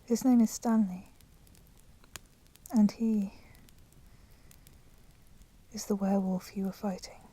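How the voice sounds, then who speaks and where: A woman playing a polite but menacing vampire in a whispered ASMR roleplay, speaking softly and formally. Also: mid-range voice, steady tone, low-quality recording. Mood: earnest, reproachful. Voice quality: breathy, soft, often whispering. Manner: slow, deliberate, formally courteous. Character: polite, menacing female vampire, proud and merciful.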